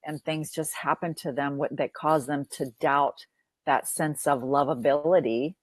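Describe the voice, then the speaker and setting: Low-voiced earnest woman counselor. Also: fairly even intonation, measured pace, no echo. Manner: earnest, repeats phrases while building a point. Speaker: counselor and podcast guest. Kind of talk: podcast interview.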